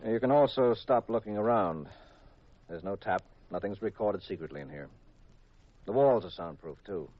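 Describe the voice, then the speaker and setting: An actor with an American accent playing a confident, easygoing young private detective in a 1950s radio drama, brisk and casual. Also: male voice, fairly low pitch, animated. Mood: self-assured. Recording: dull old radio recording.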